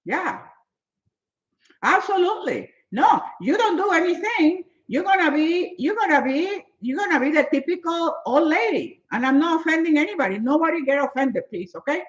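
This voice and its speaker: A woman's voice: very high voice, lively intonation, moderate pace. Emphatic woman in her seventies, lo-fi. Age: 70s. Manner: emphatic, repetitive, non-native phrasing. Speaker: fitness motivational speaker. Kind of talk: live-stream chat answering viewers.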